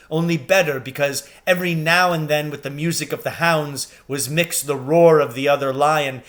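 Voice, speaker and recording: A man reading a children's story aloud with expressive, deliberate and dramatic phrasing. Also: a little high. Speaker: reader, teacher reading aloud.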